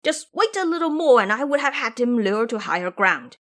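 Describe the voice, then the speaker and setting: A woman voicing a blunt, complaining male pig-man warrior monk in an audio drama, loud in manner. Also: fairly high pitch, big pitch swings, a little fast, pitched above the performer's own voice. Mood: exasperated complaint. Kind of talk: audio drama character acting.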